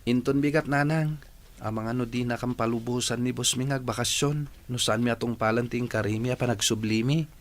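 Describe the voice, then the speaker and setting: A man's voice: mid-range voice, fairly even intonation, fairly quick, lightly acted. An actor playing an earnest, dutiful young village man in a radio drama. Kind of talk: Philippine radio drama acting.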